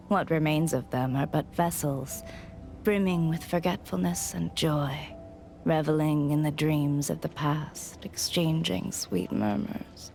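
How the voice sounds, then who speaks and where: An actress playing an enigmatic, detached woman guide in a video game, speaking in a measured, formal, cryptic way. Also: sits low, darkish tone.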